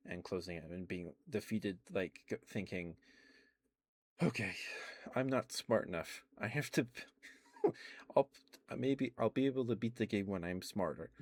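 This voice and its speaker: A young adult man quietly rambling in a soft ASMR stream, meandering with many fillers and restarts. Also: low-pitched.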